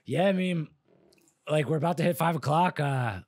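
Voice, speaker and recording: Young guy hosting a podcast, kinda high voice, lots of likes and ums. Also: moderate pace, darkish tone, no echo, studio quality. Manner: hesitant, many fillers, eager reactions. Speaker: podcast host. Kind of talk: casual interview chat.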